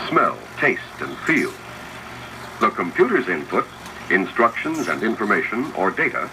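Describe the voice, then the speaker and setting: A man reading scripted, instructive narration for a 1970s educational film, addressing the viewer directly. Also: lowish voice. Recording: noisy 1970s 16mm film soundtrack, projector whir. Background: mechanical projector noise.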